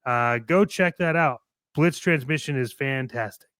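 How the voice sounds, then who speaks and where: Bright, clear male show co-host. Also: mid-range voice, measured pace, no echo, studio quality. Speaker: co-host of a toy collectors' show. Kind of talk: casual show banter with promotional shout-outs.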